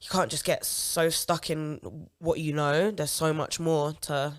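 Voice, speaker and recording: A woman's voice: low voice, steady tone, unhurried. A young woman from Leeds, a singer talking casually as a podcast guest, saying "like" a lot. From Leeds, England. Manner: chatty, peppers speech with "like". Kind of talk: casual interview chat.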